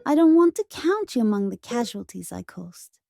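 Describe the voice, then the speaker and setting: A woman playing a gentle, guilt-ridden warplane in an audio drama, soft, solemn and earnest. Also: fairly high pitch, big pitch swings, normal speed, vocal fry. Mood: pleading, remorseful.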